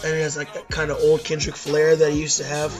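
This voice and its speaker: A hoarse young man ranking albums in a rambling, casual talk, full of fillers and self-corrections. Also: fairly high pitch, monotone, fast, faint music behind. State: hoarse from losing voice at a concert. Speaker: YouTube music reviewer.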